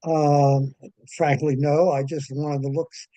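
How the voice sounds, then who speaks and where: A man giving casual technical advice on a call in a measured, matter-of-fact way. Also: fairly high pitch, level tone, warm tone, dry recording. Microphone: conference call.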